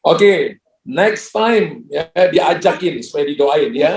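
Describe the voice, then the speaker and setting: A man's voice: fairly high pitch, vocal fry, reverberant, background noise. A man, a pastor, praying prophetic words over callers and chatting with them in a joking, pastoral way. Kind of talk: prophetic prayer and ministry to callers.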